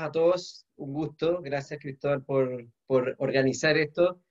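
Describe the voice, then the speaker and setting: A man in his forties, originally from Paraguay, speaks in a friendly, measured way with a few fillers. His voice is mid-pitched and comes through muffled call audio. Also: a little mellow. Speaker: guest, government official. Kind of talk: casual video-call conversation.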